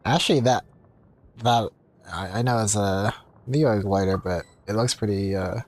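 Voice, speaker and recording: A man's voice: fairly low pitch, a little slow. A man chatting casually as a game streamer, informal and thinking aloud as he plays. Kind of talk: casual livestream gameplay chat.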